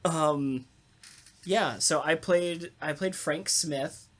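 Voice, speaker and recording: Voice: mid-range voice, very slow, fairly bright tone. An enthusiastic co-host and voice actor chatting casually in a round-table talk, swearing freely.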